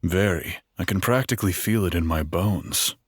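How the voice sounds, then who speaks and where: Wood elf bard, male actor: deep, diffident, courteous, fantasy audio drama. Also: moderate pace, breathy edge, dry recording, clean studio sound. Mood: polite. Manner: hesitant, courteous.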